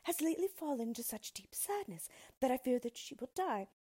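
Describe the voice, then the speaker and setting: Young fairy-tale princess , woman reader: proud yet fearful, high and very animated, dramatic delivery. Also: brisk, husky, exaggerated voice. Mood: sorrowful. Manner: pleading, indignant, dramatic.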